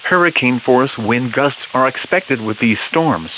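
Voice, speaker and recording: A male announcer with a mid-pitched voice delivers an official severe weather alert. His reading is measured and impersonal, strictly scripted. The audio is dull and band-limited, with a light radio hiss. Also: animated. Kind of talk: formal scripted emergency weather bulletin.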